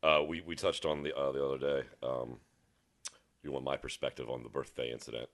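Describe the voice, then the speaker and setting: A man with a very deep voice, a podcast guest rambling in a halting, self-mocking way. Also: little pitch movement, creaky, a bit bright. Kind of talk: casual drinking chat.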